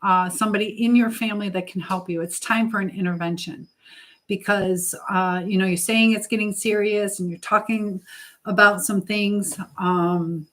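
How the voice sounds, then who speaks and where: Woman, live stream host, earnest exhorting advice, halting delivery with pauses, mid-pitched voice. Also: steady tone, poor audio. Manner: religious encouragement. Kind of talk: live chat advice, reading out and answering viewer comments.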